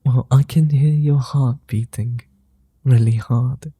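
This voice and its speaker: A man voicing a gentle, flirtatious immortal vampire in an ASMR roleplay, speaking softly, slowly and teasingly. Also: medium pitch, fairly even intonation, slightly rough, dry recording. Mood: tender. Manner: soft, slow, flirty and teasing.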